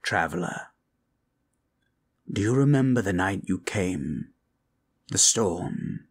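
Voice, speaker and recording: A man voicing a grave dark sorcerer turned to good, solemn, measured and commanding, in a fantasy ASMR roleplay. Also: sits low, lively intonation, exaggerated voice. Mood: grave, probing. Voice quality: husky.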